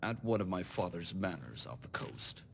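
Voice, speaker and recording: Scheming illegitimate lord for fantasy game, male actor: very low, gravelly, formal and composed, faint rumble behind. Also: sing-song, moderate pace, full character voice. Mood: cool, resolute. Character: scheming illegitimate nobleman, calculating, resentful, self-made. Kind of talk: scripted video game dialogue.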